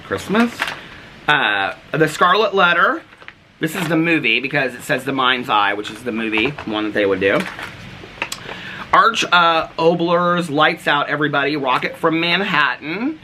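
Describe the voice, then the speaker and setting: An American man with a slightly high, very animated voice chats casually as he shows things off. He sounds gushing and easily excited, his pitch swinging widely. The home recording has clear background noise. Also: unhurried. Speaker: vlogger. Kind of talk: casual show-and-tell chat to camera.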